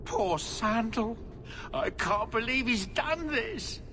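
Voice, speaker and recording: A man's voice: extremely high pitch, expressive, slow, pushed and bright, slight background noise, heavily acted. An actor playing a worried, doting dwarf merchant father in a video game, pleading courteously. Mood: distressed. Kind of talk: dramatic video game dialogue.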